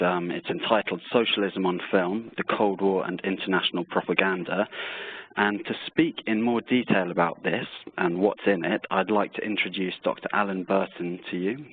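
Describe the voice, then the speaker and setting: Male webinar host, deep and husky, semi-scripted delivery, thin band-limited call audio. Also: narrow, tinny audio, a little hiss or hum. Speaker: webinar host introducing speakers.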